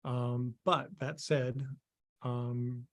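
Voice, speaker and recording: A middle-aged man from Maine, a guest on a video call, speaking in halting, casual sentences full of ums and restarts. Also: mid-range voice, little pitch movement. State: losing his voice, clearing throat. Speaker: interview guest, visual effects artist.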